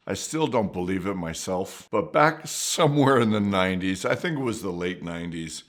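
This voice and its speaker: A middle-aged man telling a personal story at home in a rambling, conversational way, often restarting his sentences and adding asides. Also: lowish voice. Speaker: storyteller, mission worker. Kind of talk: casual spoken anecdote.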